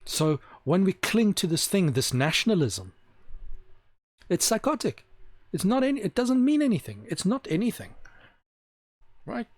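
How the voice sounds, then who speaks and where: Guy with a slightly high voice ranting hard on his channel, keeps repeating himself to hammer it home, slows right down on key words, dead dry sound. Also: sing-song, no echo. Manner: emphatic, repeats points for stress. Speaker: video host. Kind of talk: opinionated solo monologue.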